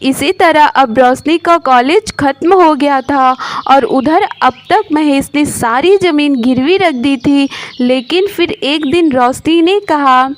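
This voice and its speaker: A woman's voice: high voice, takes it slowly, slight background noise. A woman storyteller giving scripted narration of a moral story.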